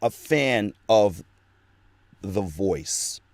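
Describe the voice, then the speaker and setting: A middle-aged man with a fairly low voice talks as a guest on an interview show. He reminisces in a loose, rambling way and keeps circling back to restart his thoughts. The sound is close and dry, with no room echo. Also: expressive, drawn-out words. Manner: rambling nostalgic storytelling, many restarts. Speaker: guest voice actor. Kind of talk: casual interview chat.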